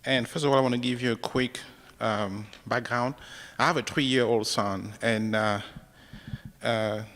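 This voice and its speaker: A man from Cameroon in the audience, asking his question softly and hesitantly. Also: medium pitch, steady tone, a little slow, big-room sound. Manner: soft-spoken, hesitant.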